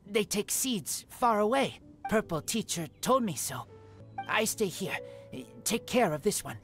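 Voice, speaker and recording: A woman's voice: mid-range voice, sing-song, a little slow, faint music behind. A woman voicing an earnest, guarded wolf-raised teenage boy in a video game, speaking in broken, clipped phrases.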